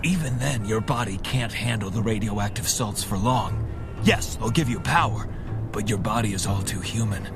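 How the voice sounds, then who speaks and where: An actor playing an earnest, commanding costumed hero in an audio drama, with a stern manner. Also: male voice, lowish voice, normal speed, music in the background, lightly acted. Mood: grave.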